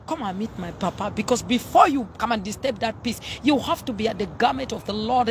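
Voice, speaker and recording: A woman's voice: medium pitch, a little hiss or hum. A woman, preaching fiery and emphatic on a phone livestream outdoors. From Zambia. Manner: fiery, emphatic, jabbing with her hands. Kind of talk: impassioned preaching on a live stream. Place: outdoors by a hedge. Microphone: phone held at arm's length.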